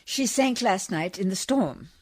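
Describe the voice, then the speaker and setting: Female actor as prim devoted lady, radio drama, polite diction, animated range, slightly breathy rasp, earnest. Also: mid-range voice, a little slow. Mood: composed, defiant. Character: prim, plucky, devoted genteel lady adventurer. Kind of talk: radio play acting.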